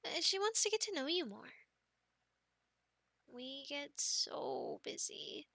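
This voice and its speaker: A young woman in an ASMR girlfriend roleplay, chatting softly and wandering from thought to thought with little laughs. Her voice is bright and a touch high. It is recorded close and dry. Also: very animated, slow pace, vocal fry, dry recording. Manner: soft, chatty and rambling, with many ums and light laughs.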